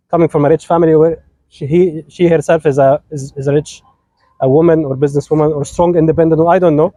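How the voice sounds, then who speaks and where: Egyptian man, wedding officiant, fast and playful, high voice, mellow dry sound, slightly sick. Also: no room sound. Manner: playful, teasing, switches between English and Arabic. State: sick, voice not at full strength. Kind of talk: lively wedding sermon, joking with the couple.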